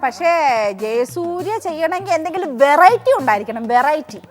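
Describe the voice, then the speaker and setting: An actress playing a bossy, film-struck housemaid who chatters self-importantly in a TV comedy sketch. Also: female voice, high-pitched, expressive, brisk, soft background music. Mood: demanding. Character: pushy, film-struck housemaid woman demanding a heroine role. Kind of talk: Malayalam TV comedy sketch acting.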